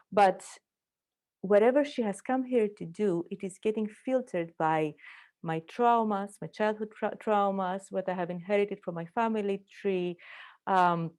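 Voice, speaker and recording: A woman leading an online workshop, speaking gently and earnestly over a video call. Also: medium pitch. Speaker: workshop leader. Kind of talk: online group workshop talk.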